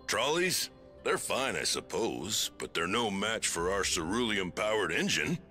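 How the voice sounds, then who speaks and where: An actor playing a practical, plain-spoken railway boss with a brisk, businesslike manner in a video game cutscene. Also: male voice, lowish voice, very animated, bright, forceful tone, faint music behind, heavily acted.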